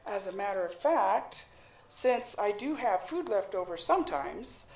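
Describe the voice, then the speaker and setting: A woman playing a warm, shrewd caravan cook who deals in secrets, with a folksy, teasing, knowing manner in an audio drama. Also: medium pitch, animated, a little slow, vocal fry. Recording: roomy echo, music underneath.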